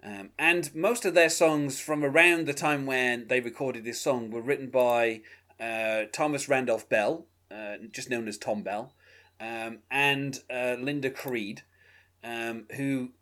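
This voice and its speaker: Chatty podcast host from the UK. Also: male voice, medium pitch, fairly even intonation, slow pace, fairly bright tone. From United Kingdom. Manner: conversational, full of fillers like "um" and "you know". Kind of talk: music podcast discussion.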